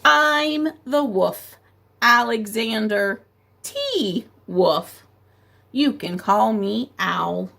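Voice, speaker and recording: A woman voicing a smooth-talking male wolf who pleads his innocence in a storybook, with a gravelly, husky voice and a chatty, persuasive manner. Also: on the high side, animated, very slow, pushed and bright, full character voice.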